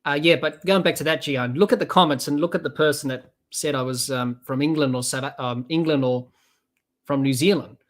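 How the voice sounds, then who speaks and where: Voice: mid-range voice, fairly quick. Chatty young Aussie podcast commentator. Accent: Australian. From Australia. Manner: chatty, rambling, reads out viewer comments. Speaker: podcast host. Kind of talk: casual live-stream cricket commentary.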